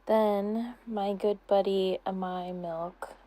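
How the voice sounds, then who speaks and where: A woman's voice: medium pitch, mellow. A young woman in a casual question-and-answer chat, talking in an informal, rambling, giggly way. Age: young adult. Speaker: content creator answering subscriber questions.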